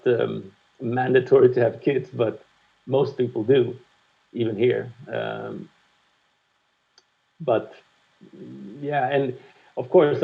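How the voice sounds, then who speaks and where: Frank, reflective man, 50s, gravelly voice, slightly muffled recording. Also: mid-range voice. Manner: reflective, frank, swears casually. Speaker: guest music producer. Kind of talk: candid conversational interview.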